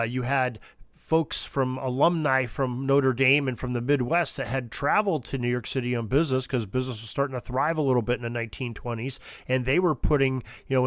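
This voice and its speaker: A man's voice: mid-range voice, no echo, clean studio sound. A man hosting a sports history podcast, chatty and informal, talking straight to his listeners. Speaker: podcast host.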